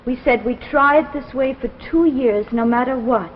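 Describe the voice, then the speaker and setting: An actress playing a devoted, encouraging young wife in a 1950s anthology drama, speaking in an earnest, coaxing way. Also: female voice, sits high, moderate pace, a little hiss or hum, close to an everyday voice. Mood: pleading. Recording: muffled, echoey 1950s broadcast recording.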